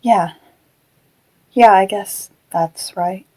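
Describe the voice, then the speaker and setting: A woman playing a gentle, troubled animatronic fox who was once human, speaking hesitantly and reflectively in an audio drama. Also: mid-range voice, extremely slow, husky. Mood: subdued, unsure.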